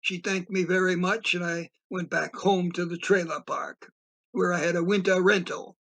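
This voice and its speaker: A man plays a bemused everyman narrator in an audio drama. His voice is pitched high for a man. He spins his tale in a plain, folksy, first-person way. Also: little pitch movement, normal speed, a bit bright.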